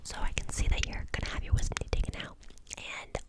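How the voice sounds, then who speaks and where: Chatty young woman whispering softly. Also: fast talker. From United States. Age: 20s. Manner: soft unvoiced whisper, chatty with many fillers. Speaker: ASMR channel host. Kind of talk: whispered ASMR Q&A.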